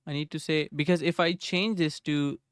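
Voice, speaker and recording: Man coding on a live stream, talks to himself, keyboard clicks, close dry desk mic, smooth voice. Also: fairly high pitch, steady tone, normal speed, dry recording. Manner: thinking aloud while typing, greets chat viewers. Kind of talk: casual live coding chat. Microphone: desk microphone.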